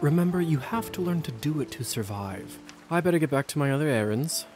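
A man's voice: on the high side, lively intonation, brisk, music in the background, natural voice. An actor playing a suave, sly vampire officer who teases as he instructs, in video game style. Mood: casual, wry. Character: suave, sly male vampire hussar, teasing mentor.

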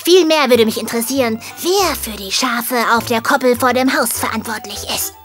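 A woman's voice: a little high, normal speed, a bit bright, faint music behind, lightly acted. A woman playing a polite, inquiring detective in a German audio drama. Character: polite, inquiring detective questioning a stable hand.

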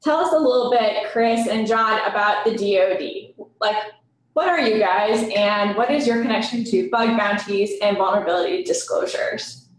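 A woman's voice: mid-range voice, normal speed. Enthusiastic young woman moderator, echoey office. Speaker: panel moderator. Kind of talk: moderating a panel. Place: small office with bare walls. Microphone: far webcam across a desk. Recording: very echoey room from the mic's distance.

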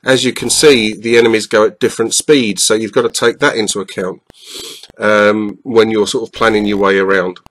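A man's voice: fairly low pitch, steady tone, normal speed, a bit bright, low-quality recording. A middle-aged man with a stuffed-up nose, casually commenting over a retro game with lots of ums and uhs. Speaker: retro gaming YouTuber.

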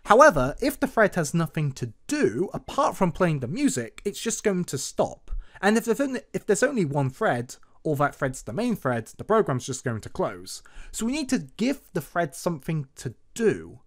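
A man's voice: on the high side, big pitch swings, moderate pace. A man hosting an informal programming tutorial as a voice-over, playful and lightly sarcastic in manner. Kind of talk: informal screen-recorded tutorial narration. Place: voice-over for screen recording.

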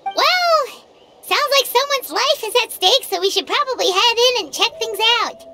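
A woman voicing a tiny, nosy, excitable childlike fairy companion in a video game, exclaiming as she talks. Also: extremely high pitch, moderate pace, vocal fry, slight background noise, full character voice. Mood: questioning, wary. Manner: refers to self in third person, exclamatory.

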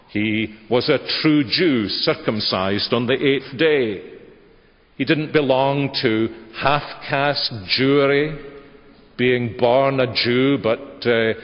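An older man preaching a measured sermon from a church lectern microphone. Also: medium pitch. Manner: measured, gesturing preaching cadence. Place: large church sanctuary. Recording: dull church webcast audio with long reverb.

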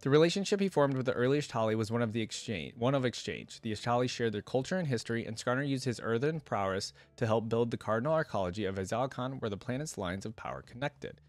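A man's voice: medium pitch, lively intonation, fast talker, few pauses, no room sound. Young man hosting, dry close-miked sound. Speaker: reaction video host. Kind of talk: casual reaction commentary mixed with reading aloud. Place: indoor room at a desk. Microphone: desk microphone close up, with headset on.

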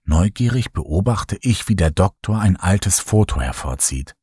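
Male horror-audiobook reader; husky, fairly low, measured and dramatic, dry close recording. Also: lively intonation, darkish tone, no room sound. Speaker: horror story narrator. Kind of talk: scripted audiobook narration.

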